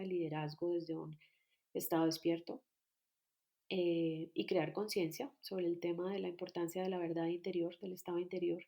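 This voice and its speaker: A woman, a guest telling her life story in a calm, earnest, reflective way. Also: fairly low pitch, gravelly. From Colombia. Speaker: guest, personal growth coach. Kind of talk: reflective personal account. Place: at home near Bogotá.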